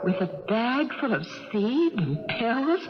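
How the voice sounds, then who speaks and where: A woman's voice: fairly high pitch, sing-song, takes it slowly, loud music behind the voice. An actress playing an earnest, idealistic, questioning woman in a theatrical 1980s radio drama style. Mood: skeptical. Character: earnest, idealistic woman, skeptical yet full of wonder. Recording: noisy, dull old radio broadcast.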